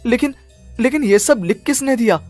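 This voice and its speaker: Casting: frightened young male writer, played by a man, high strained pitch, stammering, pleading, for animated horror story. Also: normal speed, faint music behind. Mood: anxious. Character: panicked, frantic young male writer afraid of spirits.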